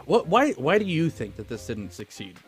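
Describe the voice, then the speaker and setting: A man with a fairly high voice hosts a casual film podcast. He talks informally, swearing freely, with animated delivery, and music plays behind him. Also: moderate pace. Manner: animated, informal retelling with acted-out asides. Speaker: podcast host. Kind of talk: casual film discussion, profane.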